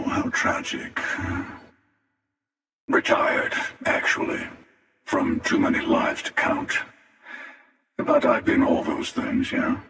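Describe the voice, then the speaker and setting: An older man playing an ancient, sardonic and menacing starfaring hunter in a video game, with a gravelly, wry, taunting drawl. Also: extremely high pitch, fairly bright tone, recorded from a distance, full character voice. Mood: mocking, amused. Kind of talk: scripted video game character dialogue. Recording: cavernous reverb, voice set back from mic.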